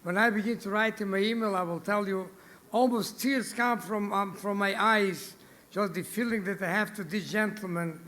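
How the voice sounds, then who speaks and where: Halting, effusive man with a high voice. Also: pushed and bright. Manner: halting, repeats himself, effusive. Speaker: host introducing the keynote speaker. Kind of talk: emotional introduction speech. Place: banquet hall at a gala dinner.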